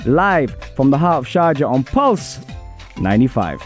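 A man hosting a radio sports show, his voice hoarse, with an upbeat, hyped-up presenting manner. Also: mid-range voice, big pitch swings, moderate pace, over loud music.

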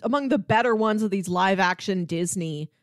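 A woman's voice: mid-range voice, moderate pace, dry recording, studio quality. A woman co-hosting a casual film discussion, explaining things in a knowledgeable, conversational way. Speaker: film podcast co-host.

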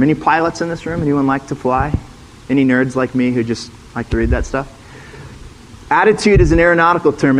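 Middle-aged male ex-pastor, slightly high voice, chatty sermon that draws in the congregation. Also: low-quality recording. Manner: conversational teaching, asks the room questions. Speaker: former pastor, guest speaker.

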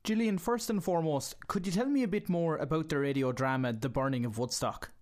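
A man hosting an interview, putting prepared questions in a formal, measured way. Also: fairly high pitch, a little mellow. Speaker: radio show host and interviewer.

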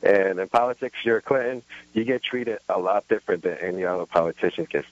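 Earnest low-voiced male caller. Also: steady tone, dry recording. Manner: earnest, halting and repetitive. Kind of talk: call-in phone conversation. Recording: muffled, dry radio call audio.

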